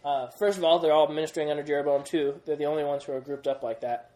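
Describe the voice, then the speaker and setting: A young man teaches a Bible class with energy. His voice sits a little high for a man. He quizzes the group as he goes and restarts his sentences often. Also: fairly even intonation, fast talker, mellow. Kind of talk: classroom Bible lesson with questions.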